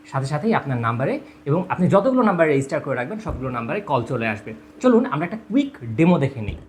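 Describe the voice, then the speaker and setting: A man narrating a product demonstration, explaining step by step and addressing viewers directly. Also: on the high side. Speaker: product presenter. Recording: clear background noise, ambient sound.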